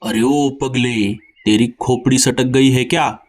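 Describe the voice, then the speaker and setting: A man's voice: medium pitch, steady tone, a little slow, natural voice. A man voicing a menacing vulture bandit in a children's cartoon, scheming and bullying. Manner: bullying, scolding. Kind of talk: Hindi children's cartoon dialogue.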